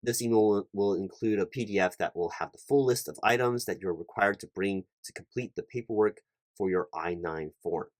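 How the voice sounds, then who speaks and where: A man's voice: fairly low pitch, brisk, dry recording, close to an everyday voice. A man playing a fussy, officious recruiting manager in a one-sided interview roleplay, rambling and repetitive with pedantic detail. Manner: rambling, repetitive, pedantic, stumbling over long lists. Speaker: ASMR roleplay performer.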